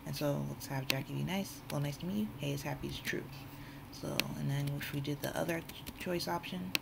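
A woman's voice: deep voice, gravelly. A woman giving a casual spoken coding tutorial, informal and thinking aloud as she goes. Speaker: tutorial host. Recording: noisy room with keyboard typing. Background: computer keyboard typing, loud background noise.

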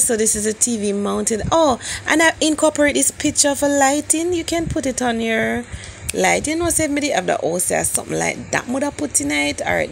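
Gravelly, enthusiastic Jamaican woman vlogger. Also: fairly high pitch. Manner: enthusiastic, chatty, mixes in Jamaican Patois. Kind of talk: casual house tour vlog. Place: walking through show houses and outside them. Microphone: handheld phone. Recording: background noise from handheld phone recording.

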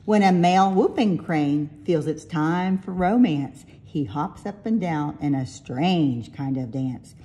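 Lady reading rhyming kids book out loud, soft mellow tone, slow and expressive. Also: low-pitched. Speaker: picture-book reader. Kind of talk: storybook read-aloud in rhyming verse.

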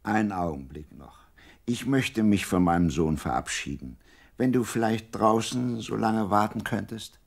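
Worried old dad in a bible radio drama, really low grave voice. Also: male voice, measured pace, creaky. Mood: pleading. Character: anxious fatherly old man, biblical shepherd boy's father. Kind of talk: German radio play acting.

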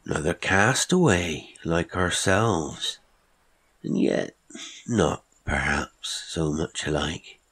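Brief: surly marooned man, archaic turns of phrase, deep and unhelpful, voiced by a man. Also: lively intonation, takes it slowly, fairly bright tone, slightly rough, exaggerated voice. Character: small surly man long marooned, old-fashioned speech.

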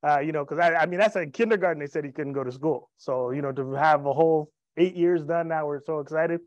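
Upbeat high-voiced man presenting on stage. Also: brisk, dry recording, dull audio. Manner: upbeat, joking, personal stories. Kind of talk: sales presentation to a live audience. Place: event room with a stage. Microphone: handheld microphone.

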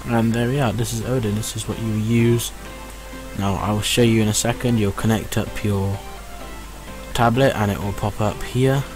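A man with a slightly low voice walks through a tutorial step by step. He sounds casual and reassuring as he explains. Music plays clearly behind him. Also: fairly even intonation, moderate pace. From United Kingdom. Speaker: tech tutorial host.

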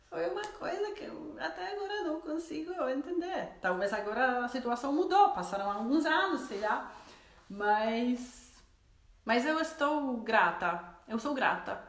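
Polish woman in her forties, chatty vlogger who restarts a lot, roomy living room sound, built-in mic. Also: high voice. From Poland. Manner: chatty, self-correcting, laughs between phrases. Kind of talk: casual chat to camera. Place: living room at home with fireplace.

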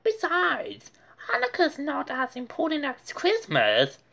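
A haughty little girl from a storybook, acted out by a woman, declaims like royalty. The voice is pitched very high and sounds grand and bossy. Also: huge pitch swings, slow, creaky, bright, forceful tone, full character voice. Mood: indignant. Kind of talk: storybook character voice.

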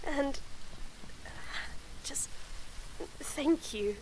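A woman voicing a shy young tomboy friend, speaking softly and stammering through a nervous confession in a scripted audio roleplay. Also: sits high, slight background noise, poor audio. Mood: relieved. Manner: stammering, halting, soft. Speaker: voice actress.

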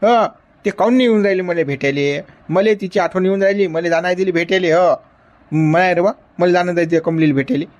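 A man's voice: high voice, fairly quick, muffled recording, natural voice. An actor playing a stubborn, lovesick old grandfather who whines and insists in a Varhadi Marathi accent. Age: older. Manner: whiny, insistent pleading. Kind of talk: comedy sketch acting.

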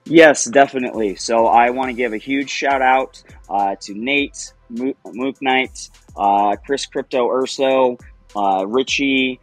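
A man's voice: medium pitch, slow, dry recording, soft background music. A man in his thirties talking as an interview guest over a video call from home, rambling and upbeat, laughing often. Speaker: guest, project founder. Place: home, by a window. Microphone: webcam on video call.

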